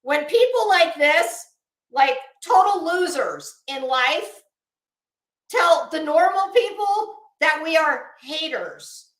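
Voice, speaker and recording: Female online commentator, mocking and halting, very unhurried pace, roomy echoing sound. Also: sits high, lively intonation, a bit bright. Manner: sarcastic, mocking. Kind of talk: rambling, casual live-stream commentary.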